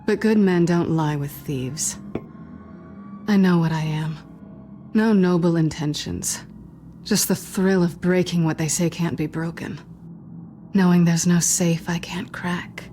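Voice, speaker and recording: Actress doing a sly cat burglar lady for a video game, lowish voice, dry teasing wit, guarded, noisy background. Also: steady tone, measured pace, long pauses, warm tone, close to an everyday voice. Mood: cynical, intimate. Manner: teasing, sardonic, intimate and low-key. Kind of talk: naturalistic video game acting.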